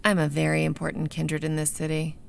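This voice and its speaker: A woman's voice: sits low, completely flat delivery, fairly quick, creaky, slight background noise. An actress playing a pushy, foul-mouthed young female vampire in an audio drama, sardonic and commanding as she acts and narrates. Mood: brash. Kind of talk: audio drama acting with first-person narration. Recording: music behind the voice.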